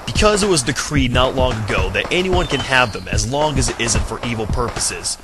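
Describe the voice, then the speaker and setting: A man performs a tough guardian hero for an audio drama. His medium-pitched voice is stern and defiant. Music plays clearly behind him. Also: expressive, fairly quick, close to an everyday voice. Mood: stern defiance. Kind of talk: amateur audio drama acting. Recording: voice over music.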